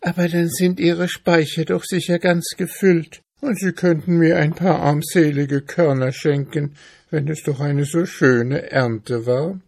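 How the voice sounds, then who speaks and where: A man's voice: on the high side, steady tone, a little slow, vocal fry, a little mellow, pitched above the performer's own voice. A man voicing a poor, hungry female mouse in a storybook, plaintive and politely begging. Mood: pleading, questioning.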